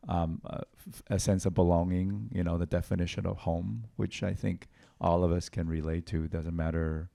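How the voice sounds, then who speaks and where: A man's voice: deep voice, flat delivery, normal speed, creaky, few pauses, mellow. A man from Taiwan, a film director, answering on a panel in a thoughtful way, with many fillers and restarts. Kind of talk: panel Q&A conversation.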